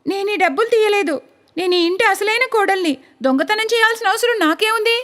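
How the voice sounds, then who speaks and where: A woman's voice: very high-pitched, fast talker, fairly bright tone, voice pushed up. A woman voicing a scheming, greedy young runaway wife in a cartoon story. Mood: protesting. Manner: brash, demanding.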